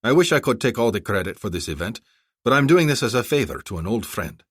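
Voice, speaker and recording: A man's voice: on the low side, sing-song, quick pace, husky, no echo, clean studio sound, pitched above the performer's own voice. A man voicing a wily male president and former fighter, courtly and wordy, in an audiobook. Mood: courtly, guarded.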